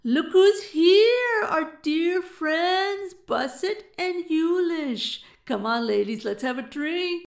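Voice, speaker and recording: Here is a woman's take on a gleeful male villain from an audio story, boisterous and bullying. The delivery howls and taunts in folksy phrases. It has a creaky edge and sits in an echoey room. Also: mid-range voice, lively intonation, moderate pace, a bit bright. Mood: loud, jovial. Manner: chortling, howling, folksy taunting. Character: gleeful, loud, bullying male captor and rogue. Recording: roomy echo.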